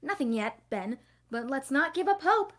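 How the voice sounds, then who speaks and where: A woman's voice: high voice, very animated, normal speed, fairly bright tone. A woman playing a snarky, bookish young girl with a dry, sarcastic delivery in a cartoon script read. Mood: mocking, dry.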